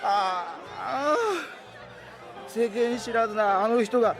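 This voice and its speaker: Very high-pitched young man, tipsy, whining, easily flustered; audio drama, music and bar hubbub behind. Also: takes it slowly, some chatter behind. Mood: tearful, indignant. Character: whiny, lovesick, timid young male office subordinate, tipsy. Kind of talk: Japanese audio drama acting.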